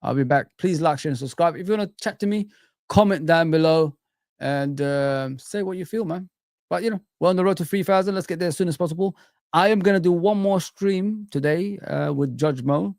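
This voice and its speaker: A man's voice: a little high, a little fast, no room sound, clean studio sound. A young man from Somalia hosting a casual football live stream, chatting loosely with viewers and reading comments, calling everyone bro. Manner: relaxed, rambling, calls viewers bro.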